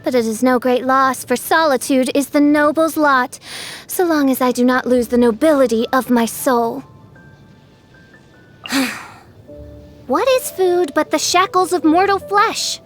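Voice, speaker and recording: High-voiced, pompous teenage princess. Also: female voice, a little slow, long pauses, faint music behind. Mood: lofty, brooding. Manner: pompous, archaic, dramatic royal speech. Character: grandiose theatrical teenage girl, self-styled princess. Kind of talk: video game character voice lines.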